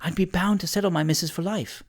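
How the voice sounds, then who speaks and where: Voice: medium pitch, a little fast, darkish tone, lightly acted. A narrator voicing a coquettish, scheming young maidservant.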